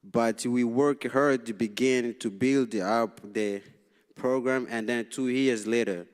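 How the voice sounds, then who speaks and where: A man's voice: medium pitch, fairly even intonation. Nervous young man from Tanzania, Swahili-native. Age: young adult. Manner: halting, nervous, restarts and repeats lines. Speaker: student representative. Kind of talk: reading a prepared speech at a public meeting. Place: large city hall meeting room. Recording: long reverb in a council chamber.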